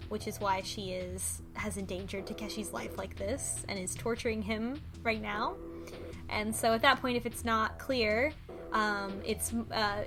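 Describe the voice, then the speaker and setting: Chatty woman recapping a story. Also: medium pitch. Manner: thoughtful, recaps plot with many fillers. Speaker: podcast co-host. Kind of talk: casual discussion of a visual novel.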